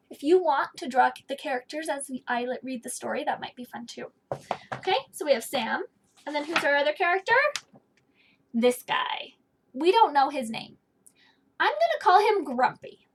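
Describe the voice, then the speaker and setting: A woman in her thirties giving a children's read-aloud lesson, explaining things in simple phrases for young listeners. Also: sits high. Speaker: teacher reading aloud. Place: small indoor office or study.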